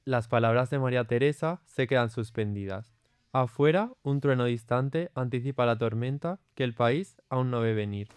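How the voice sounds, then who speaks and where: A man's voice: medium pitch, normal speed, clear, resonant tone, dry recording. A man narrating an audio drama in a solemn, literary storytelling manner. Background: music.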